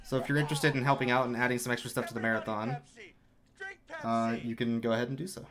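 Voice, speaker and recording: A man's voice: mid-range voice, fairly even intonation, fast, crowd noise. A man giving casual, rambling, self-mocking commentary while he plays through a speedrun live.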